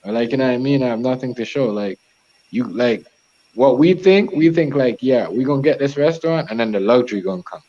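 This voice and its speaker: A man's voice: mid-range voice, lively intonation, a little fast, dark tone. Man, chef, Jamaican-born and Toronto-raised, casual rambling chat, many restarts, swearing, muffled lo-fi audio. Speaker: chef, co-host. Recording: dull lo-fi sound.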